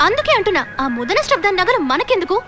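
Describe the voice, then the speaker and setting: A woman's voice: very high-pitched, very animated. An actress playing a feisty young policewoman in a comedy film, wheedling and dramatic as she talks. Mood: conspiratorial, flattering. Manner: dramatic, cajoling, quick to plead. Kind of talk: Telugu film comedy acting. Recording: music behind the dialogue.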